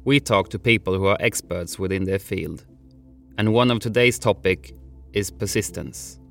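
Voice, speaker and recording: A man's voice: fairly low pitch, fairly bright tone. A man hosting a podcast, introducing the show and interviewing a guest. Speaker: podcast host.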